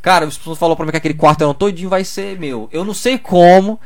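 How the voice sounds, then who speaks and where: A man's voice: sits high, racing. A young man in Brazil, telling stories in a loose, slangy casual chat full of "cara". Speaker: podcast guest.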